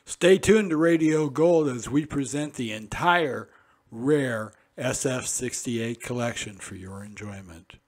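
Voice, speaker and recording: A man's voice: medium pitch, measured pace. A man reading a scripted radio station promo as its announcer.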